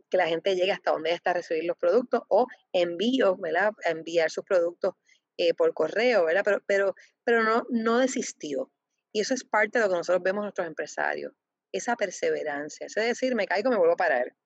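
Woman guest, lowish voice, halting with fillers, dry muffled audio. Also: no echo. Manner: hesitant, many fillers and restarts. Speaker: foundation representative, guest. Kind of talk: interview answers. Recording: dull lo-fi dry sound.

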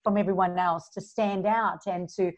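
A woman's voice: medium pitch, steady tone, moderate pace. A woman in her forties with a South African accent mixed with Australian, talking casually as an interview guest and laughing often between phrases. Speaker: guest, business coach. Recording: slightly dull lo-fi sound.

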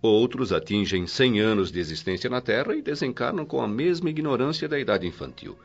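A Brazilian actor playing a wise, patient spiritual mentor in a radio drama, teaching in a measured, formal and solemn way. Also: male voice, mid-range voice, expressive, highs cut off, soft background music. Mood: solemn, instructive.

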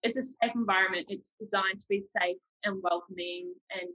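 A woman's voice: mid-range voice, steady tone, brisk, pushed and bright, lo-fi sound. A young adult woman with a New Zealand accent, chatting informally and self-deprecatingly as a podcast host. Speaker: podcast host, university student. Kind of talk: casual chat.

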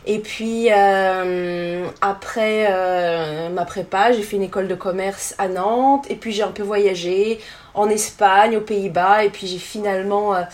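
A woman's voice: medium pitch, steady tone, unhurried, a little mellow. A woman in her thirties from France with a French accent, giving conversational answers as a podcast guest. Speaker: podcast guest, tour guide.

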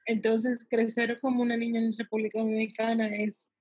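A woman from the United States giving conversational interview answers, pausing often as she searches for words. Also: fairly high pitch, completely flat delivery, darkish tone, slightly rough, dry recording, rough recording. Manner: halting, searching for words with drawn-out pauses. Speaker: interviewee, salon worker and empowerment advocate for girls.

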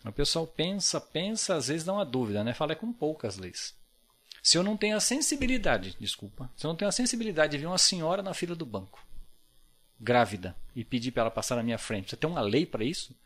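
A man's voice: on the high side, very animated, quick pace, fairly bright tone. Brazilian guy on a podcast, earnest and thoughtful, voice jumps around a lot. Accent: Brazilian Portuguese. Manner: earnest, reflective, many tag questions. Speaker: podcast guest. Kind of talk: casual podcast conversation.

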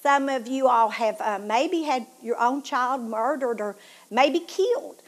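A woman with a Southern Tennessee accent speaks with earnest, heartfelt feeling as she shares her life story. Her voice sits fairly high, and it drops to a soft hush when she reaches the painful parts. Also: unhurried. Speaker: show host. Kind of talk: personal testimony talk.

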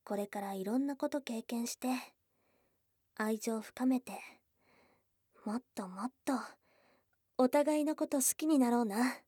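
High, slow, bashful goblin girl played by a woman. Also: mellow, husky, no room sound, clean studio sound. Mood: loving, giddy. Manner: tomboyish casual speech, bashful, affectionate. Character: shy, blunt young goblin girl newly in love. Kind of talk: Japanese ASMR situation voice drama, intimate. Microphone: close dry studio mic.

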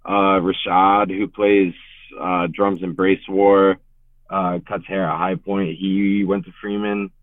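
Casual young male guest with a low voice, rambling and filler-heavy, heard over a thin phone-like call. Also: level tone, slow pace, no echo. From Richmond, Virginia. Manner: laid-back, rambling, heavy on filler words. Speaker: guest musician. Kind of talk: casual podcast interview. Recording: tinny, phone-like remote call.

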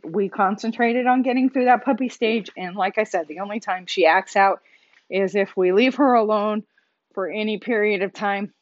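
A woman hosting her own podcast, talking casually in a loud, emphatic voice with many restarts. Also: medium pitch, muffled recording. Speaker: podcast host. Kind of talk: casual solo podcast monologue.

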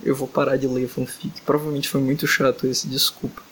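A man's voice: mid-range voice, flat delivery, a little fast, a little hiss or hum, low-quality recording. A young man hosting a casual podcast, reading a fanfic aloud and joking, tired and exasperated late at night. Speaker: podcast host.

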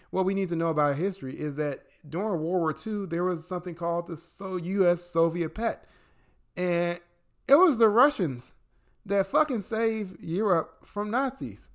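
A young adult man from the American Midwest co-hosting a casual political podcast, profane and emphatic, swinging between loud outbursts and low asides. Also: normal speed. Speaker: podcast co-host.

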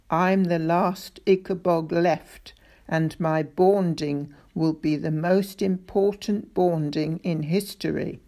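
Deep slow gentle giant creature for a storybook, voiced by a woman, gravelly and dark. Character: huge gentle old monster, slow and solemn.

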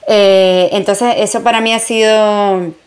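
A woman's voice: medium pitch, steady tone, slow pace. Woman, Puerto Rican accent, journalist being interviewed, reflective, conversational, slips into English phrases. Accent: Puerto Rican Spanish. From Puerto Rico. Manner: reflective, mixes in English phrases, frequent fillers. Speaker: journalist and entrepreneur, guest.

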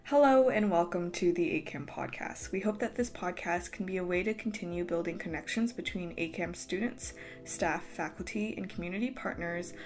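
A woman hosting a podcast, giving a formal scripted opening and welcome. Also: lowish voice. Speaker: podcast host.

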